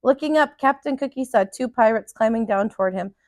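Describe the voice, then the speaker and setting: A woman reading a storybook aloud for children in an animated, dramatic teacher's style. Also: fairly high pitch, fairly quick, no echo, clean studio sound.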